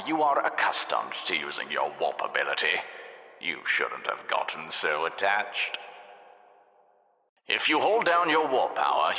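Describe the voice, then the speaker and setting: Smug, sadistic lab overseer, wildly swooping. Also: male voice, sits low, exaggerated voice. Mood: mock-helpful. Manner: mock-polite, sarcastic, theatrically expressive. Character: smug, sadistic male test-lab overseer taunting his subject. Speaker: game narrator. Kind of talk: scripted video game narration. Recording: echoey, reverberant hall sound.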